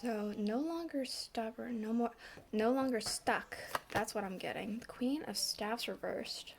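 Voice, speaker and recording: A woman giving a casual tarot reading, still congested, with a slight cough and a bit winded, talking in a relaxed, chatty way with many fillers. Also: a little high, measured pace, creaky, a little hiss or hum. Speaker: tarot reader.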